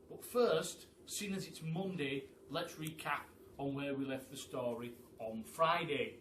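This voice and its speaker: A man's voice: mid-range voice, a bit bright, a little hiss or hum. A man presenting a children's storytime, chatting chummily to listeners and reading theatrically. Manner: chummy, addresses listeners as guys. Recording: echoey room, distant mic.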